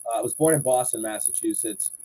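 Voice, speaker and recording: A man's voice: mid-range voice, fast talker, warm tone, faint music behind. A man in his forties from Florida, a podcast guest chatting and telling long rambling stories about his life. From Southwest Florida, United States. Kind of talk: casual chat.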